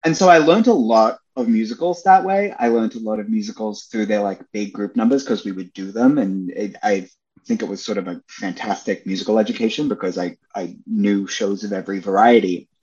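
A young man with a lowish voice, talking eagerly as a podcast guest and peppering his talk with filler words. His audio sounds dull and lo-fi. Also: a little fast, darkish tone. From Australia. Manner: chatty and enthusiastic, with many "like" and "you know" fillers. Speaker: podcast guest, Broadway performer. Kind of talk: casual interview chat.

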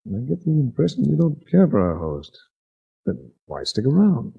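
An actor playing a wry hard-boiled investigator in a 1940s radio drama, speaking in a dry, measured, wisecracking way. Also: male voice, a little high, huge pitch swings, creaky, slightly rough, full character voice. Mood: wry, flirting. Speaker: lead actor and narrator. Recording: dull 1940s radio recording.

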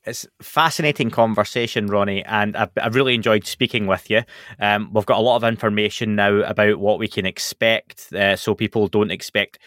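A man hosting a podcast, thanking his guest and reading a smooth, friendly outro. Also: on the low side, steady tone, brisk, a bit bright, dry recording, studio quality. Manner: friendly, smooth sign-off patter. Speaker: podcast host.

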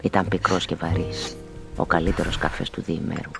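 An actor playing a weary, observant homicide detective who narrates his case in a measured, literary way in a radio play. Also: low voice, flat delivery, gravelly. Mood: brooding, observant. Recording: music under the voice.

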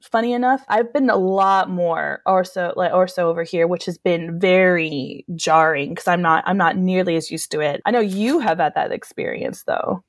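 A woman's voice: on the low side, moderate pace, few pauses, dry recording. Upbeat woman podcast co-host, dry sound. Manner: relaxed, upbeat, uses fillers like "um" and "for sure". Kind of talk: casual conversational podcast.

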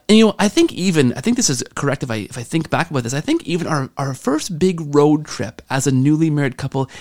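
A man hosting an interview podcast, speaking in an earnest, enthusiastic way. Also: fairly high pitch. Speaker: podcast host. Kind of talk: podcast introduction and interview talk.

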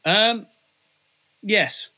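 A man's voice: sits high, very slow, sharp tone. A man who is a guest on a casual podcast, talking loosely, laughing often and trailing off, recorded on a large condenser studio microphone. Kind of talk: casual chat. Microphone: large condenser studio mic.

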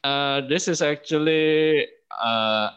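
Young man from Indonesia, guest storyteller, animated; bright, tense tone, dull recording. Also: fairly high pitch, slow, smooth. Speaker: podcast guest. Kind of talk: storytelling in an interview.

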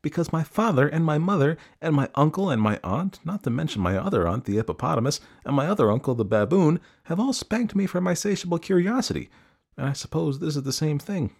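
Give the storybook a little elephant child, played by a man, pitched up well above an adult's talk. The youngster is earnest and curious, with painstakingly courteous, wordy, pleading manners. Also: big pitch swings, brisk, vocal fry, a little mellow, full character voice. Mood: polite, eager. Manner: courteous, long-winded, pleading.

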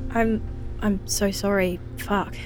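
An actress playing a blunt, teasing but soft-hearted companion, speaking in a dry, naturalistic audio drama style. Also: female voice, medium pitch, steady tone, takes it slowly, lightly acted, low-quality recording. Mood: concerned, sympathetic. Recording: music behind the voice.

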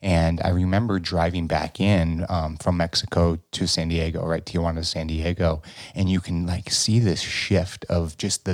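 Upbeat low-voiced podcast host. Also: male voice, no echo, clean studio sound. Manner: upbeat, loose, swears casually. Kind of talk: casual interview chat.

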